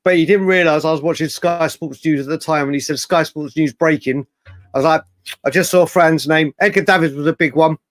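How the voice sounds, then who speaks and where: English male football show host, fairly high voice, dry sound, matey and digressive live banter. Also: brisk, no room sound. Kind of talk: casual live fan debate.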